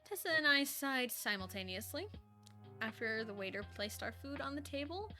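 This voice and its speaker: A woman streamer reading a story aloud, expressive and playful, with chatty asides. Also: high-pitched, normal speed, soft background music.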